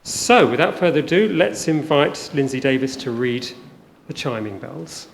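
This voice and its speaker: A man's voice: medium pitch, lively intonation, moderate pace, creaky. A man acting as master of ceremonies, introducing a concert conversationally with many hesitant ums. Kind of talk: informal spoken introduction to a concert. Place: inside a church at a live event. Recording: reverberant church, faint background noise.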